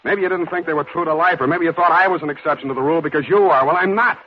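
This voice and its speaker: An actor playing a wry, cynical American private detective in a brusque 1940s radio drama style. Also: male voice, fairly high pitch, fairly even intonation, rapid-fire, a little hiss or hum, lightly acted. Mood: bitter, scornful. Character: wry, hard-boiled male private detective. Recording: dull, lo-fi 1940s radio recording.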